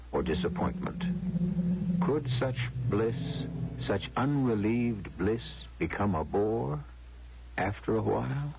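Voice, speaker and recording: Music plays under a dull vintage radio recording of a solemn male storyteller. He narrates slowly and rhetorically in a gravelly voice. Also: fairly low pitch, heavily acted. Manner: measured, rhetorical. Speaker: host and narrator. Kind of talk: radio mystery scripted narration.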